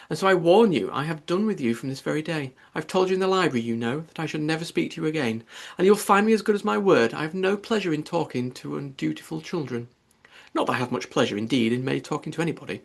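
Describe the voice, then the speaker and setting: Audiobook mother, played by a man: middle-aged, fretful, self-pitying, raised pitch, agitated and melodramatic. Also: gravelly.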